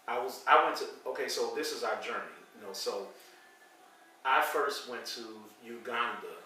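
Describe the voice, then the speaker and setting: A middle-aged American man from St. Louis tells stories in an easygoing way, pausing on fillers as he talks. His voice is bright and forceful. It sounds echoey, and he is a little away from the mic. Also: mid-range voice, a little hiss or hum. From St. Louis, Missouri, USA. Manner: conversational storytelling, frequent fillers. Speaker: channel host. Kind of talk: casual chat. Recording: echoey room, mic at a distance.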